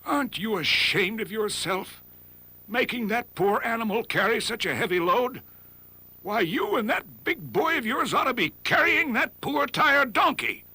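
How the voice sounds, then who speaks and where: Man acting an indignant, high-pitched townsman. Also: very animated, unhurried, bright, forceful tone, husky, no echo, full character voice. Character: indignant, scolding townsman in a fable crowd. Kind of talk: animated fable dialogue.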